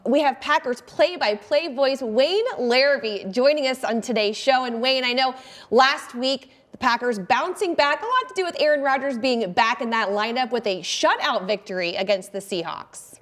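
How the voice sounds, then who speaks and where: A woman's voice: high-pitched, moderate pace, fairly bright tone. A woman hosting a sports talk show in an upbeat presenting style.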